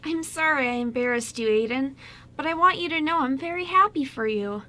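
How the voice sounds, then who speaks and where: Radio drama role: supportive young female best friend, acted by a woman, high bright voice, sweet and encouraging. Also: animated, a little fast. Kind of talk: amateur radio drama script reading.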